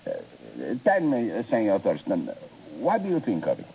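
An actor playing a genteel, weary older Mexican rancher with a Spanish accent in a 1950s radio drama, courtly and hesitant. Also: male voice, low voice, huge pitch swings, moderate pace, gravelly, warm tone, a little hiss or hum, exaggerated voice, poor audio. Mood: genial, tired.

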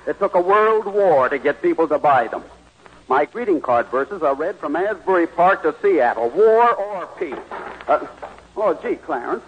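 An actor playing a harried, meek suburban husband and would-be poet, earnest and flustered, in a 1940s radio comedy. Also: male voice, on the high side, expressive, moderate pace, lo-fi sound, a little hiss or hum, close to an everyday voice. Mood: irritated.

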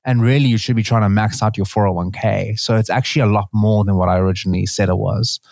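Man, low voice, casual podcast host, dry close mic, lots of "like". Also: fast, creaky, no room sound. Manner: relaxed, filler-heavy, says "like" often.

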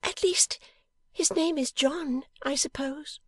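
A woman voicing a gentle, bewildered young wife, speaking softly and hesitantly in an audiobook. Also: high voice, slow, no room sound, clean studio sound, pitched above the performer's own voice. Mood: uncertain, guessing.

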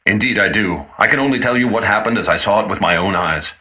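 A man's voice: on the low side, fast talker, pushed and bright. A man playing a solemn professor who recounts a deadly expedition earnestly. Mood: grave. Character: grave older professor, expedition survivor. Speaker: guest professor.

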